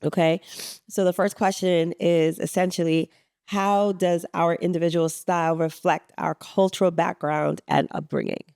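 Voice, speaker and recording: Woman, from Suriname, gravelly voice, dry close sound, affirming podcast co-host. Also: fairly low pitch, steady tone, normal speed, darkish tone, no room sound. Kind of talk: casual chat.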